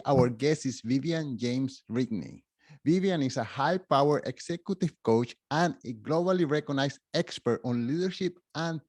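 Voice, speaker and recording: A man's voice: medium pitch, darkish tone, no echo, studio quality. A man whose first language is Spanish hosts a podcast interview, asking questions in a friendly, hesitant way. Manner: friendly, hesitant, uses fillers. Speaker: podcast host. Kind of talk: interview hosting.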